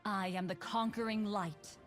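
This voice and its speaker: A woman's voice: medium pitch, steady tone, unhurried, soft background music, natural voice. An actress voicing a solemn, zealous female warrior of light for a video game, in a declarative, proclaiming manner. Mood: solemn conviction.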